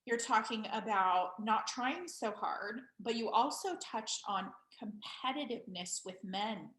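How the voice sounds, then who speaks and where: A woman hosting a casual interview, calmly building on her guest's points. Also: a little high. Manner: unhurried, thoughtful, expands on the guest's points. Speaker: host. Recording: roomy echo.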